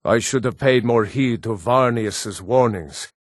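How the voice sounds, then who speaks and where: A man voicing an earnest Nord townsman in a fantasy video game, speaking scripted lines in a measured, formal way. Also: medium pitch, no echo, clean studio sound, natural voice. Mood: grave, concerned.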